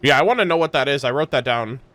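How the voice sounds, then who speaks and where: A man's voice: mid-range voice, animated, fast, very noisy. A young man doing casual reaction commentary as a game streamer, talking in an informal, slangy way. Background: game music.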